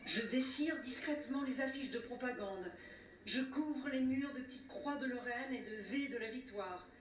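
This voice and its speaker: A woman delivering a solemn scripted commemorative speech in a measured, declamatory way, with rhetorical repetition and pauses. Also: high-pitched. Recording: roomy, distant mic with faint noise.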